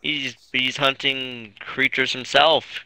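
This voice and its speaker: Very slow, bright-voiced man gaming. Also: medium pitch, little pitch movement, smooth, no room sound, rough recording. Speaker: gamer in a roleplay series. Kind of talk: casual in-game roleplay chat. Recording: faint game music behind the voice. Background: game music.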